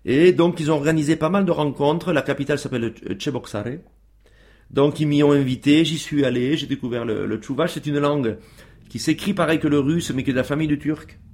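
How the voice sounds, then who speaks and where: A man's voice: a little high, fairly quick, darkish tone. A man as a guest on a radio show, talking casually with many fillers and restarts.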